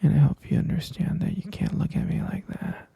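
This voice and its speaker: A man playing a mature stepfather in an ASMR roleplay, speaking in a breathy, husky half-whisper with a hesitant, confiding and concerned manner. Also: a little fast, warm tone, lightly acted. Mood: reproachful, confiding.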